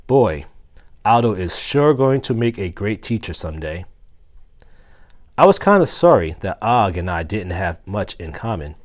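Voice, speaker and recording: A man reading a children's story aloud with expressive, deliberate phrasing. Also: fairly low pitch. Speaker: assistant principal reading aloud.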